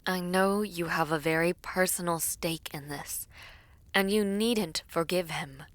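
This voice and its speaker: A woman playing a composed, caring young princess in an audio drama, soft and earnest, often slipping into a breathy hush. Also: lowish voice, measured pace, no echo, close to an everyday voice. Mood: pleading, firm. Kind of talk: audio roleplay drama acting.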